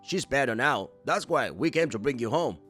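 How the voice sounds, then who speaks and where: Man as earnest young dad, reassuring, animated mid-range voice, manga dub dialogue, music behind. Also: mid-range voice, normal speed, natural voice. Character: earnest, reassuring adult son and young father. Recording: background music under the voice.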